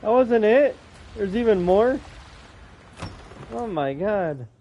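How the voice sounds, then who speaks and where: A man with a high, animated voice chats informally through a video game, reacting aloud as he plays. Faint game ambience runs behind him. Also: takes it slowly, highs cut off, slight background noise. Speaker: game streamer, player. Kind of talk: casual gameplay commentary.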